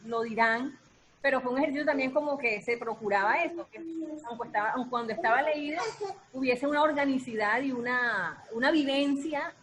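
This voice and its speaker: High-pitched woman, expressive. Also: a little fast, slight background noise, poor audio. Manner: expressive, searching for words, repeating herself. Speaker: radio theatre actress. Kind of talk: casual talk about creative process.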